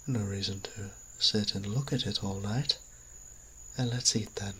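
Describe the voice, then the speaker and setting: A man playing a kindly, genteel vampire priest in an audio drama, speaking politely and courteously with pauses mid-phrase. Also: lowish voice, pushed and bright, a little hiss or hum. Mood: gracious, pleased. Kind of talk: scripted audio roleplay drama.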